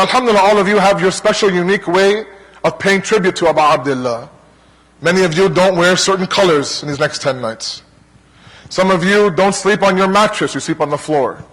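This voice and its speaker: A man from Toronto with a Canadian accent preaching to a congregation in an earnest, rhetorical manner, pausing between deliberate parallel phrases. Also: high-pitched, fairly bright tone. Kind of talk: religious lecture to a congregation. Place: large hall, speaking to a gathering. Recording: long hall reverb.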